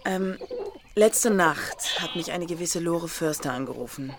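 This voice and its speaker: A woman's voice: on the low side, lively intonation, unhurried, a crowd in the background. An actress playing an inquisitive, teasing female journalist in a naturalistic radio crime drama. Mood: confiding. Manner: teasing, pressing with questions.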